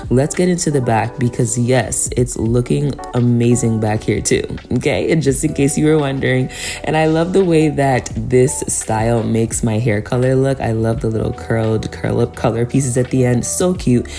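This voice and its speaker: A woman's voice: a bass voice, normal speed, mellow, faint music behind. A woman giving a casual, chatty tutorial voice-over, speaking to viewers in an encouraging way. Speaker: hair tutorial vlogger.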